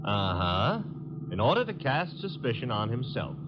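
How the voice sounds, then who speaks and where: Man playing a lively, wisecracking radio sidekick. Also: mid-range voice, moderate pace, over loud music, close to an everyday voice. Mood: casual, curious. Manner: breezy, sardonic, wisecracking. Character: wisecracking, breezy male detective's assistant, loyal and cheeky. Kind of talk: 1940s-50s radio drama acting. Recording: dull old radio recording.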